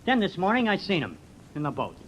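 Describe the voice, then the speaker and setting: Cocky young American no-good, defensive and swooping, high-voiced, faintly noisy 1940s radio drama. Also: male voice, huge pitch swings, a little fast, fairly bright tone, heavily acted. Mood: defiant. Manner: slangy, defensive, evasive. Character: cocky, shifty young loafer in his twenties.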